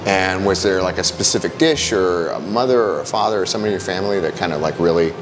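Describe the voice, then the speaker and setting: Low-voiced casual male interviewer. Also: fast talker, noisy background. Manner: conversational, loose fillers.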